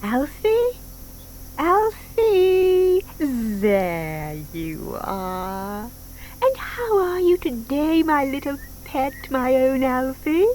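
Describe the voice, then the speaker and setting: An actress playing a sweet, doting middle-aged widow, speaking in a tender, cooing way in an audio drama. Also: female voice, high-pitched, very animated, drawn-out words, darkish tone, heavily acted. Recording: faint hiss on old cassette drama.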